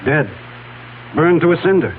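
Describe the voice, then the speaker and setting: An actor playing a dry, suspicious investigator, measured and pointed, in a 1970s radio drama. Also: male voice, a little high, animated, lightly acted. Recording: tinny old radio broadcast, faint hum.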